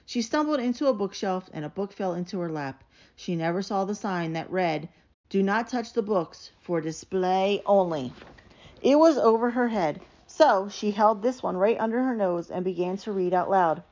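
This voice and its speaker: A woman giving an animated, expressive read-aloud of a children's picture book. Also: medium pitch, normal speed. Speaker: storybook reader. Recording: dull, lo-fi sound.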